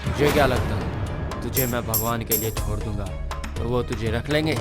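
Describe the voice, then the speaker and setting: A man's voice: medium pitch, loud music behind the voice, natural voice. An actor playing a vengeful male ghost in a horror audio drama, menacing and taunting. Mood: mocking, menacing. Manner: menacing, taunting, drawn-out threats.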